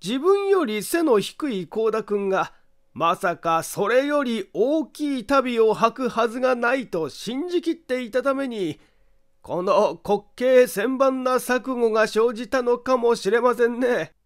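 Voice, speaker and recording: Amateur detective for mystery audiobook, male reader acting: creepy amusement, high pitch, unhurried deliberate accusations. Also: animated. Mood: gleefully amused. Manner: deliberate, theatrical, amused emphasis. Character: eerie chuckling amateur detective, calm, relentlessly accusing man.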